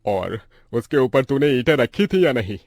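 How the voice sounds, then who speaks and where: A man playing a poor, worried labourer father who frets and gives orders in an animated moral story. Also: medium pitch, moderate pace, no echo, clean studio sound. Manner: fretful, exhorting. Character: Devesh, poor worried labourer father, bossy but caring.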